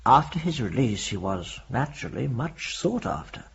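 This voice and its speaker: An actor playing an earnest, courteous Victorian clergyman recounting his memories, with a husky, gravelly voice and formal, measured storytelling. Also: mid-range voice, very animated, full character voice. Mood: wistful. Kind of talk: period radio drama acting. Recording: dull lo-fi audio.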